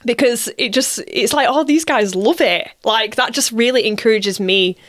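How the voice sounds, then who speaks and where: A woman's voice: on the high side, normal speed. A woman speaking casually and reflectively as an interview guest, with an earnest, effusive, emotional manner.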